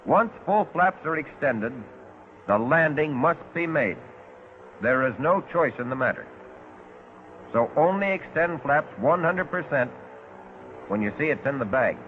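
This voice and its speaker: A man's voice: a little high, lively intonation, a little hiss or hum. Tinny 1940s American training film narrator, male. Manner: measured, precise, instructive. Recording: tinny narrow-band 1940s film soundtrack.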